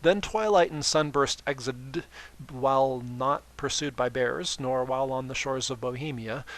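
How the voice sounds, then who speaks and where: A man's voice: mid-range voice, a little slow, a bit bright. A man giving a dramatic, comedic reading of fan fiction, mock-dramatic and breaking off into exasperated asides.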